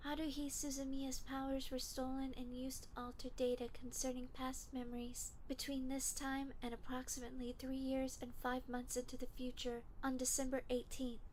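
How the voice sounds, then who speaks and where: A high-voiced alien girl, played by a woman, detached and machine-precise. She recites technical language in a formal deadpan for an anime fan dub. The amateur recording has slight background noise. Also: normal speed, hardly any pauses, lightly acted, poor audio. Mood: matter-of-fact.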